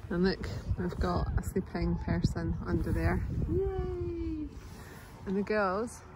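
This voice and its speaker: A woman's voice: mid-range voice, takes it slowly. A woman in her forties filming her family on a phone, giving a playful running commentary outdoors and in the car. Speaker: vlogger and mother. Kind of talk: casual family vlog narration. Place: outdoors on a beach, then in a car. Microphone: handheld phone camera. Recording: noisy wind and outdoor background.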